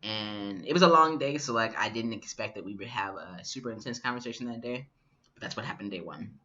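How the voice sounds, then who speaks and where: A young man in his twenties telling a personal story to the camera in a relaxed, reflective way with many fillers. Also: on the low side, a little fast. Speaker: vlogger. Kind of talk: casual personal vlog storytelling. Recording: dull lo-fi camera audio.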